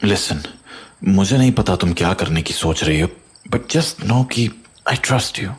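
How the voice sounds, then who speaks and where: A man playing a concerned, supportive husband in a horror audio drama, deliberate, with an Indian accent and English phrases mixed in. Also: low voice, expressive, husky, heavily acted. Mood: reassuring, concerned. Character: concerned supportive husband, skeptical but trusting.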